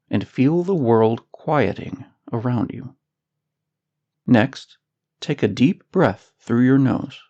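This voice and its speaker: Guy reading a guided meditation, super slow n soothing, lots of vocal fry, bit muffled audio. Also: mid-range voice, a little mellow. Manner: soothing, unhurried, measured delivery. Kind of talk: scripted guided meditation narration.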